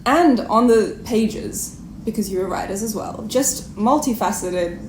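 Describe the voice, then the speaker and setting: A woman in her twenties hosting a conversational interview in a chatty, playful way with expressive phrasing. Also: medium pitch, unhurried. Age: 20s. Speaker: podcast host and interviewer. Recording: echoey room, faint background noise.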